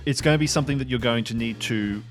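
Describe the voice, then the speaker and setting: A man working as game master, casually improvising narration for a tabletop game in a halting, thinking-aloud way. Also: medium pitch. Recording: music behind the voice.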